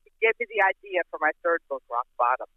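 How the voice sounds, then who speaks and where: Lady in her 70s on a tinny phone call, lively and giggly, bouncy delivery. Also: sits low, fast, no echo. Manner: lively, giggly, candid storyteller. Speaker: guest. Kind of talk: casual phone interview.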